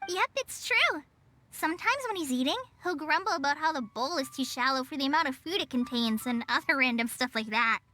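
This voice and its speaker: A woman's voice: sits high, lively intonation, fairly quick, pushed and bright, a little hiss or hum. A woman voicing a shy young apprentice who speaks hesitantly in a game. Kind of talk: game voice acting.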